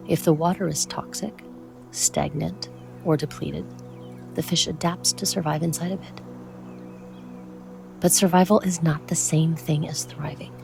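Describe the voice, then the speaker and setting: A meditation guide speaking in a soothing, measured way with frequent pauses and a soft, at times breathy voice. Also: medium pitch.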